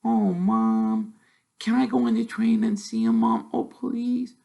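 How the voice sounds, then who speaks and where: A man's voice: very high-pitched, flat delivery, slow pace, vocal fry, dark tone, pitched above the performer's own voice, exaggerated voice. A man voicing a little girl, a pleading youngest sister in a storybook.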